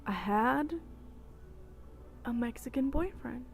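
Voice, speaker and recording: A young woman in her twenties with a fairly high voice chats through a personal story like a vlogger. She drifts into asides and keeps checking in with her listener. The homemade recording has clear background noise. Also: expressive, takes it slowly. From El Salvador. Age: 20s. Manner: chatty, tangent-prone, often checking in with "okay?". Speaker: vlogger telling personal stories. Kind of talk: casual storytime chat. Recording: noticeable noise, homemade vlog audio.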